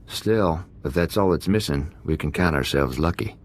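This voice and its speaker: Game role: easygoing criminal thug, bottomless low pitch, terse, menacing; faint noise behind. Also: male voice, normal speed. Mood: matter-of-fact. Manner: clipped casual phrasing, deferential to the boss. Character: rough, easygoing male prison gang thug, cheerfully menacing.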